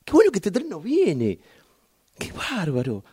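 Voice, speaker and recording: A man acts out a wistful passenger in a radio play monologue. His voice is mellow and husky, and its pitch swings widely from line to line. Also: huge pitch swings, a little slow, exaggerated voice. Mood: excited, elated. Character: wistful man waiting for a train. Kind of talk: amateur radio theatre monologue.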